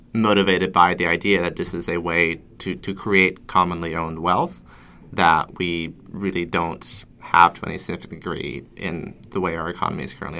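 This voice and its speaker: Bright-voiced male podcast host. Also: deep voice. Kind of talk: podcast interview questions.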